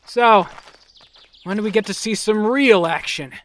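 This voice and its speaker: A woman's voice: mid-range voice, unhurried, natural voice. A woman playing a sarcastic, impatient young knight recruit in an audio drama. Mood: scornful. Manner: sarcastic, dismissive.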